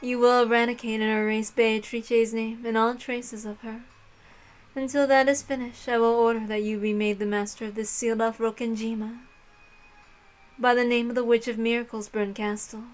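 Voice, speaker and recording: A woman's voice: a little high, flat delivery, lightly acted, low-quality recording. Languid, cruel witch mistress, voiced by a woman. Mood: cool, imperious. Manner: haughty, measured, savoring cruelty.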